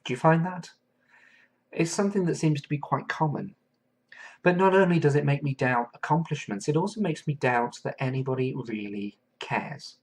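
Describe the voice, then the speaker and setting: A man from England hosting a solo podcast, talking in an earnest, thoughtful way and pausing often with fillers. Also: fairly high pitch, slightly rough. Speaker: podcast host. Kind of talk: reflective solo podcast monologue.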